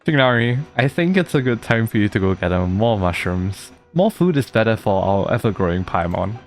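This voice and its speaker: A man's voice: on the low side, sing-song, fairly quick. A man giving casual playthrough commentary as a video game streamer. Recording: game music behind the voice.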